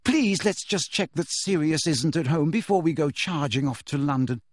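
A man's voice: a little high, big pitch swings, normal speed, husky, no echo, studio quality, voice pushed up. A man voicing an earnest, bossy teenage witch girl in an audiobook, with an urgent, anxious manner. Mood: urgent, pleading. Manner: urgent, pleading, fierce. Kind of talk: audiobook character voice.

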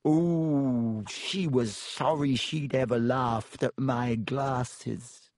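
A man's voice: medium pitch, drawn-out words, darkish tone, no echo, clean studio sound. A man voicing a self-pitying ghost of a teenage schoolgirl, wailing and dramatic, relishing her gloomy tale.

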